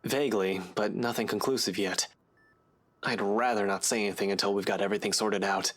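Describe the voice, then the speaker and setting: Visual novel game role: tired male investigator played by an actor, lowish pitch, protective, earnest and guarded. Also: fairly even intonation, a little fast, lightly acted. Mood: weary, guarded.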